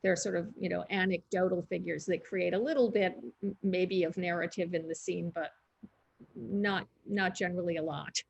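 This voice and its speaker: A woman's voice: fairly low pitch, steady tone, moderate pace, creaky, slightly rough, muffled recording. A woman co-hosting a museum talk over a video call, explaining art techniques in a chatty, thinking-aloud way. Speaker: museum co-host. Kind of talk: conversational art discussion.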